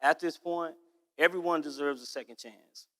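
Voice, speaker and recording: A man in his forties, founder of a nonprofit, giving a rehearsed pitch speech in an earnest, storytelling way, slowing down on key points. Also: a bit bright. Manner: earnest storytelling with drawn-out emphasis. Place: stage in a large event hall. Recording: echoey hall.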